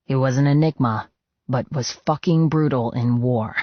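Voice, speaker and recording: A man's voice: medium pitch, moderate pace, no echo. A man narrating a novel in the first person as a scripted, intense and dramatic read. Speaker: audiobook narrator. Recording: dry, slightly dull sound.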